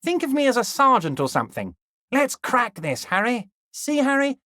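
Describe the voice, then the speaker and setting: An actor playing a smooth-talking, manipulative middle-aged union boss who speaks in a sing-song, falsely friendly way and drops low whenever he says his listener's name. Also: sing-song, normal speed, sharp tone, dry recording, studio quality, full character voice. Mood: wheedling. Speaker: game character. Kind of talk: video game voice acting.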